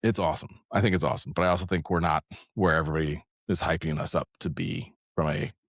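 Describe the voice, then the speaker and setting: A man's voice: sits low, steady tone, fast talker, no echo, clean studio sound. A man speaking as an interview guest, talking casually about his work as a developer and leader, thinking aloud and rephrasing as he goes. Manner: rambling, conversational, thinks aloud with repeated rephrasing.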